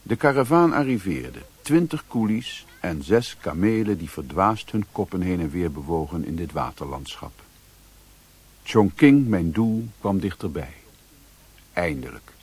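An actor playing a sombre adventurer who narrates his journey in a radio play, with a husky voice. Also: deep voice, sing-song, measured pace, darkish tone, exaggerated voice.

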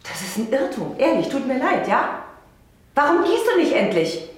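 An actress playing a distressed, defensive woman who speaks haltingly in a radio play. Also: high voice, sing-song, roomy sound. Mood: panicked, angry. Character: distressed woman fending off an obsessive admirer.

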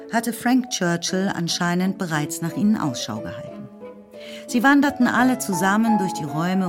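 A woman narrating a radio drama in a scripted literary style. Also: lowish voice. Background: music.

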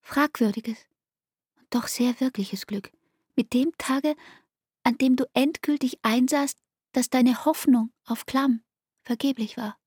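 A woman playing a wounded, disillusioned lover in a German radio play, intimate and reproachful, with a soft breathy voice. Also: high voice, a little slow, long pauses, dry recording, clean studio sound. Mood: hurt, reproachful.

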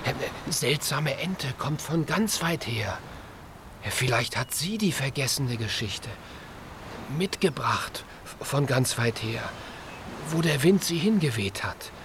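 A man's voice: medium pitch, expressive, takes it slowly, background noise. A man playing an eager, naive young piglet in a children's radio play, asking things with hesitant, childlike wonder. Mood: pondering, hopeful. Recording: studio radio play with music underneath.

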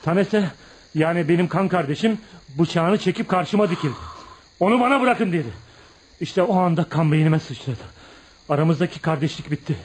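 An actor in a radio play voicing an embittered, proud young outcast who confides in a dramatic, emphatic storytelling manner. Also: male voice, on the high side, lively intonation, normal speed, breathy edge. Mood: intense, grim. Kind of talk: radio theatre acting.

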